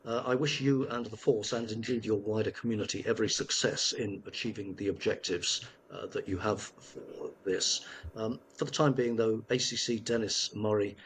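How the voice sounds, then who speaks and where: A male interviewer with a fairly low voice asks long, formal questions, halting often and searching for words. He sounds courteous and deliberate, pausing between phrases. Faint noise sits under his voice. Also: steady tone, fairly bright tone. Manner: halting, formal, many fillers and restarts.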